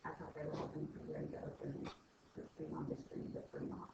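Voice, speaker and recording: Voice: mid-range voice, completely flat delivery, fast talker. A school staff member chatting casually with a colleague. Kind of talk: casual chat. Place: indoor classroom. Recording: echoey classroom, distant muffled mic, clear noise.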